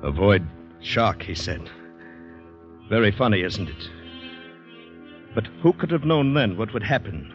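A man's voice: on the low side, big pitch swings, a little slow, long pauses. An actor playing an anxious ex-soldier in a 1940s radio drama, brooding and hesitant as he talks himself through panic. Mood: wry, polite. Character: anxious ex-soldier fighting down panic, recounting his ordeal. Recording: dull old radio recording with music.

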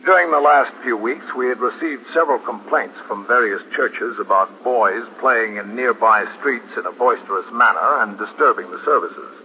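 An actor playing a calm, businesslike New York police captain who narrates a 1950s radio drama in a clipped, matter-of-fact way. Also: male voice, medium pitch, moderate pace, hardly any pauses, lightly acted. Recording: muffled, noisy 1950s radio recording.

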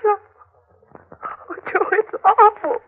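Very high-pitched frightened young bride. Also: female voice, fairly even intonation, takes it slowly, creaky, exaggerated voice. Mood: horrified, pleading. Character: frightened, faint young bride, pleading and helpless. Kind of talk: 1940s radio acting. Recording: tinny, narrow-band 1940s radio recording.

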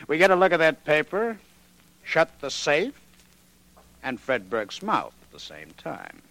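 An actor playing a scheming crook in a 1940s radio crime drama, with sly, emphatic delivery. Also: male voice, on the high side, huge pitch swings, unhurried, vocal fry, heavily acted, low-quality recording. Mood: sly coaxing. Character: scheming male crook coaxing an accomplice.

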